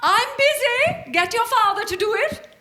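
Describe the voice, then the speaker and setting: A woman's voice: very high-pitched, moderate pace, very noisy. A woman acting in a live radio play as a bossy, grasping, self-pitying wife and mother with a nagging, theatrical delivery. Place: stage before a live audience.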